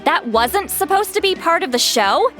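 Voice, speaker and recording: An excitable young female game character, with a very high, swooping voice and an unhurried delivery. Game music plays behind her. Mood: baffled. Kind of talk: animated video game voice acting.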